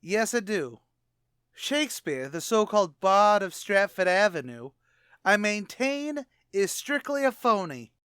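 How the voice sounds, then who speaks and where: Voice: mid-range voice, very animated, measured pace, a bit bright, clean tone, no room sound, clean studio sound. An actor playing a pompous, wisecracking tavern manager with a brash, mock-erudite manner in a radio comedy. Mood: pompous, argumentative. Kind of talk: old-time radio comedy acting.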